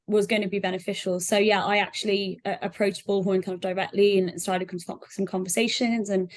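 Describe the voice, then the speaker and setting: A woman speaking conversationally as an interview guest, explaining things in a reflective, loosely hedged way. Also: medium pitch. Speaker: software sales representative, guest.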